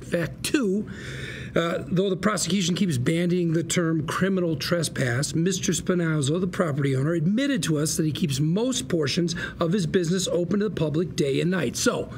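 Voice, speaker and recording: Showy folksy lawyer, creaky highish male voice, salesman patter, bit of room noise. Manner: theatrical, folksy salesman-like persuasion. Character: slick, fast-talking male defense lawyer, folksy and showy. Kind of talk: acted table read of a TV script.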